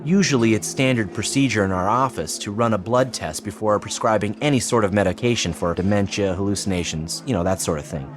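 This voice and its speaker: An actor playing an earnest, persuasive psychiatrist in an audio drama, explaining in a measured, solemn way. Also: male voice, on the low side, faint music behind, lightly acted. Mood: measured, explanatory. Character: earnest, persuasive male psychiatrist pressing his case. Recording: clearly noisy background ambience.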